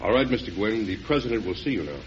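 Man acting a formal, low-voiced presidential aide. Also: lively intonation, fast talker, natural voice. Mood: businesslike. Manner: formal, deferential. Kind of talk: old-time radio drama acting. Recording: muffled, noisy vintage radio recording.

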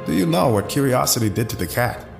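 A man's voice: medium pitch, lively intonation, fast. A man playing an arrogant, scheming business tycoon in an audio drama, with a pompous, menacing manner. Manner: pompous, smooth-talking. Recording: roomy echo, music underneath.

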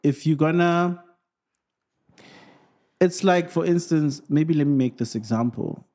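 A man with a fairly high voice, chatting casually beside his partner on a couples' Q&A. He thinks out loud, restarts his sentences and throws in playful asides while giving advice. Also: unhurried. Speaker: podcast co-host, singer.

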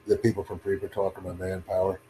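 A man with a fairly low voice hosts an online talk room in loose, upbeat patter. His English is native and unhurried. A faint hiss sits under the recording. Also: flat delivery, warm tone, low-quality recording. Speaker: host. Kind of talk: casual chat.

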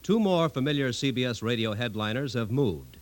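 A man's voice: mid-range voice, animated, fairly quick. A man with an American accent reading scripted announcements in a 1950s network radio style.

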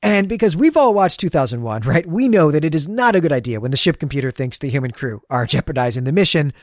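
Male podcast host, animated storyteller, conversational recap, dry close sound. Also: a little high, fast talker, no echo.

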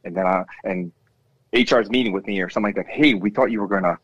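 A man with a bass voice talks with rising passion, stacking up repeated words as he breaks in. The sound is dry and a little dull, with no room around it. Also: fast, slightly rough, dry recording. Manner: impassioned, repeats himself when cutting in. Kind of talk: casual discussion.

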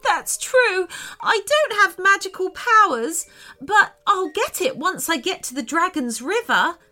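A woman's voice: big pitch swings, measured pace, exaggerated voice. A woman voicing a sweet, polite young female unicorn in a children's story, earnest and sensitive. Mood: tearful. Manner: gentle, high-pitched, earnest.

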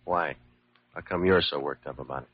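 A man's voice: extremely low pitch, brisk, slightly rough. A man acting a cynical, world-weary police lieutenant in a classic radio drama, blunt and slangy. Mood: skeptical. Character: cynical, hard-boiled male police lieutenant. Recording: muffled old radio recording.

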